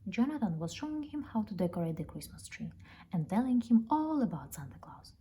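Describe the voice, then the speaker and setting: A woman reading a children's story aloud in an expressive storytelling style. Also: mid-range voice, a little fast. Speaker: storybook reader. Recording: noticeable background noise, ambience.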